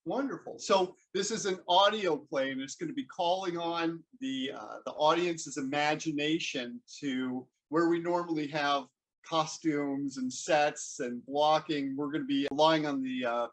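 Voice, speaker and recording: Higher-voiced man, halting interview host. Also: rough recording. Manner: halting, repeats words while forming questions. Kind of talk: hosting a conversational interview.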